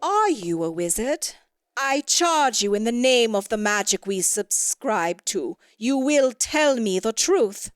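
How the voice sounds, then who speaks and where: A woman's voice: fairly high pitch, very animated, takes it slowly, smooth, dry recording, clean studio sound. A woman voicing an imperious, cold snow queen in a storybook tale, haughty and commanding. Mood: stern, demanding. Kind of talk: storybook character voice in audio theatre.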